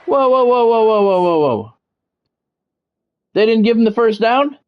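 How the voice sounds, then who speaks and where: A man streaming a football video game, giving excitable play-by-play and bluntly talking at the players in short, urgent, repeated phrases. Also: very high-pitched, a little slow, clear, resonant tone, muffled recording. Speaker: gaming streamer doing play-by-play.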